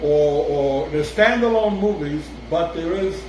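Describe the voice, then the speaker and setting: A man's voice: fairly high pitch, measured pace. A man with a Bronx accent co-hosting a chat show, mostly giving short agreeing replies and dry asides. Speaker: podcast co-host. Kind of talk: casual chat. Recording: very echoey room, noisy.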